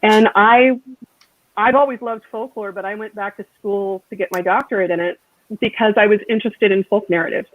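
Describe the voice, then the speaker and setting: A woman speaking as a scholarly author on a panel, with earnest, academic and deliberate phrasing. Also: medium pitch, fairly even intonation, no echo, narrow, tinny audio. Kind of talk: thoughtful panel discussion.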